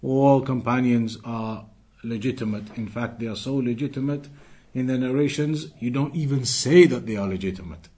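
A man leads a classroom lesson on religious texts, speaking at an ordinary middle pitch. He explains line by line, poses rhetorical questions to his students, and slips into rapid, fluent Arabic whenever he quotes. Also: warm tone. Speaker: Islamic teacher.

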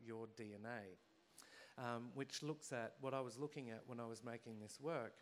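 A man from Australia, a theatre artist speaking on a panel in a reflective, measured way with pauses. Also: medium pitch. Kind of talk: panel discussion.

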